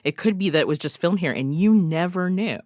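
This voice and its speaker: A woman's voice: on the low side, a little fast, darkish tone, no echo, clean studio sound. A woman giving event recommendations as a radio guest, talking casually and chattily with emphatic, informal phrasing. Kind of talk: casual conversational radio chat.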